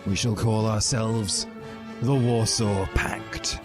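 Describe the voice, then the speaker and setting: A man's voice: fairly low pitch, fairly even intonation, slow pace. A man voicing a zealous, angry, self-righteous communist schoolboy in a declamatory storybook reading. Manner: declamatory, indignant. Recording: music behind the voice.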